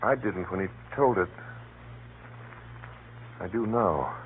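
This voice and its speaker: A man acting a grave gentleman who narrates a spy plot in an urgent, deliberate, dramatic 1930s radio drama style. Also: low voice. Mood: urgent, foreboding. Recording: muffled, noisy 1930s radio recording. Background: faint hiss and noise.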